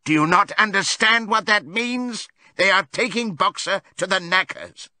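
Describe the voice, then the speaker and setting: A man voicing a frantic, defiant donkey in an audiobook, shouting urgently at fellow animals. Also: high voice, moderate pace, no echo, voice pushed up. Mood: anguished.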